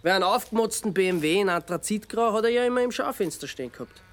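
A man's voice: high-pitched, lively intonation, fast, fairly bright tone. An actor playing a man in a radio drama who steadily reasons with and pleads against a vengeful killer. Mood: reasoning. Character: calm man confronting an avenger, pleading.

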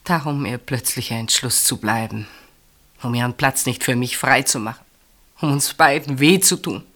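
A low-pitched anguished woman in a German radio drama. She is secretly in love with her sister's husband and speaks with dramatic intensity, moving between outcry and hushed, halting words. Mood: halting, distraught. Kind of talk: German radio play acting.

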